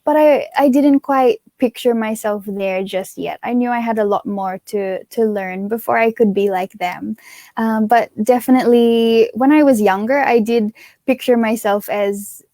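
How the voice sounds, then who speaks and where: A woman's voice: a little high, measured pace, dark tone, dry recording. Give me a young woman from Australia, a pageant titleholder answering interview questions in a chatty, earnest way with lots of fillers.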